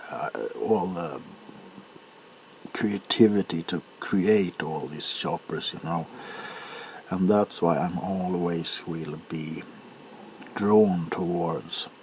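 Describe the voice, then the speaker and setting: Male ASMR host, deep and slow, hushed rambling chat with hesitations and whispered drops, slight background noise. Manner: hesitant, unhurried, drifting into whispers.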